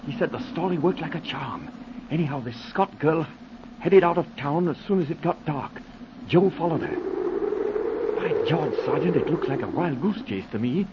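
An actor playing a skeptical, folksy marshal given to exclamations in a 1940s radio drama. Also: male voice, on the high side, lively intonation, normal speed, close to an everyday voice. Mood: doubtful. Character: grumbling, doubtful lawman, folksy sidekick. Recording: muffled, hissy old radio recording.

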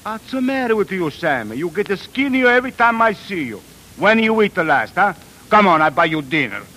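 A man's voice: high-pitched, very animated, fairly quick, pushed and bright, full character voice, poor audio. A man playing a brusque but kindly Italian bandleader who exclaims in broken English, in 1940s radio drama style. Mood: hearty. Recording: old 1940s radio broadcast.

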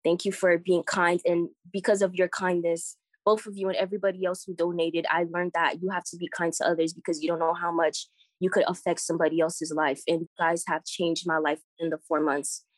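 A woman's voice: on the low side, flat delivery, a little fast, no room sound, rough recording. A young woman from Boston, born in Haiti, giving an earnest, conversational personal account as an interview guest. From born in Haiti, grew up in Boston. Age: late teens, young adult. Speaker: scholarship recipient, podcast guest.

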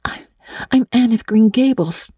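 Earnest, high-pitched little orphan girl. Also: unhurried, warm tone, breathy edge, dry recording, clean studio sound. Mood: tremulous, contrite. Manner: breathless, stammering, talkative, earnest. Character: eager, earnest, imaginative orphan girl, pleading politely.

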